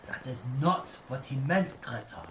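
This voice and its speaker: A man's voice: medium pitch, sing-song, a little slow, fairly bright tone, roomy sound, slight background noise. Man doing a thick-accented old German husband. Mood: measured, arguing. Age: older. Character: gentle, philosophical old husband.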